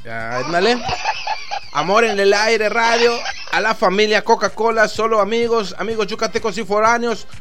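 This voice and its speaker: A Mexican man hosting a live online radio show, rambling through shout-outs and jokes in a chatty, loose way. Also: high-pitched, moderate pace. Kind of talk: casual live radio chat with greetings. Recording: faint music in the background.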